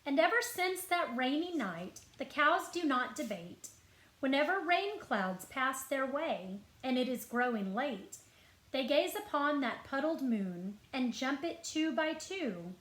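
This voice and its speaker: A woman reading a picture book aloud to children as a librarian, with expressive, deliberate phrasing. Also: sits high. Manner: expressive storytelling, clear deliberate phrasing, asks listeners questions. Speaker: school librarian. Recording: roomy echo.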